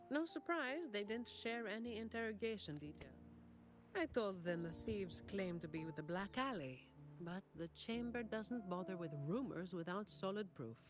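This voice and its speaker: A woman's voice: mid-range voice, animated, moderate pace, close to an everyday voice. An actress playing a cool, wry young woman security officer in a video game, with a dry, matter-of-fact delivery. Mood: dry, weary. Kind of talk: anime-style video game acting. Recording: game music behind the voice.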